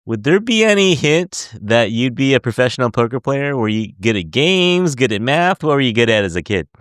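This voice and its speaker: A man's voice: medium pitch, very animated, moderate pace, a bit bright, dry recording, clean studio sound. A man hosting a podcast interview, chatty and giggly, asking long rambling questions. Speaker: podcast host. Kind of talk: casual interview.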